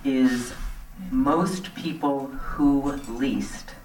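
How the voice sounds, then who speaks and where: A woman's voice: rumbling low, very slow, a little mellow. A middle-aged woman addresses a town meeting as a local resident. She speaks plainly and earnestly, partly off the cuff. The sound is roomy and reverberant, picked up some way from the microphone. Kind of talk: public comment speech, semi-extemporaneous. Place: community center meeting hall. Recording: echoey hall, distant microphone.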